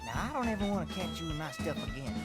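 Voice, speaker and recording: A man playing a prickly, folksy ranch hand and get-rich-quick schemer in an adventure game. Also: a little high, lively intonation, close to an everyday voice. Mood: prickly, sarcastic. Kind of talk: scripted adventure game dialogue. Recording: loud fiddle music behind.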